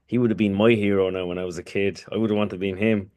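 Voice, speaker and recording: Low-voiced man, rambling. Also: steady tone, fast talker, a little mellow. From Kurdistan, Iraq. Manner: rambling, with many restarts and "you know". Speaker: podcast guest. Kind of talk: casual conversational interview.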